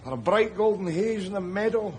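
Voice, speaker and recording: An actor playing a sardonic, rambling middle-aged down-and-out man, who breaks into shouts. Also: high voice, lively intonation, brisk, very noisy. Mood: wry rambling. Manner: wry, rambling, bursts into shouts. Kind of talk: screen drama acting.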